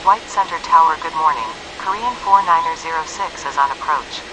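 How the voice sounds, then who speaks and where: A man's voice: on the high side, a little fast, background noise, natural voice. A man playing a procedural pilot or controller in a flight game, speaking in clipped aviation radio phraseology. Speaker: pilot and air traffic radio voice in a simulation game.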